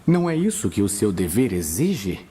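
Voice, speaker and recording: A man playing a weary, earnest male archmage, speaking in a hushed, measured, urgent way in a fantasy audio drama. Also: fairly low pitch, sing-song, breathy edge, exaggerated voice. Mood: urgent pleading. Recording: music bed behind voice.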